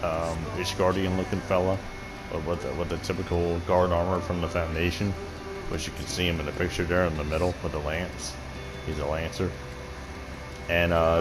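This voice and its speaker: A man with a deep voice casually commenting on a game and reading quest text, rambling and swearing freely. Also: rough recording. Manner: profane, rambling, laughs often. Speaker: gaming video host.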